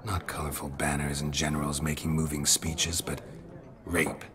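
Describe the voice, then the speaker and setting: An actor playing a world-weary, terse monster hunter in a video game, with a gravelly, hushed growl and a dry deadpan delivery. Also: male voice, very deep voice, moderate pace. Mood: dry, guarded. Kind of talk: video game character dialogue. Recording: crowd chatter behind the voice.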